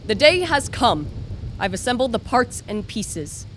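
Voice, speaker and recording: A woman's voice: a little high, big pitch swings, unhurried, fairly bright tone, a little hiss or hum. A woman playing an obsessive, ambitious young male science student, narrating earnestly and formally in a radio play. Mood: urgent, exultant.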